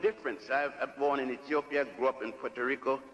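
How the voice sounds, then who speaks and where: An older man giving a public lecture and telling his own story in a halting, earnest way, repeating words as he goes. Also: medium pitch, fairly even intonation, fairly bright tone. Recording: dull archival lecture tape in a large hall.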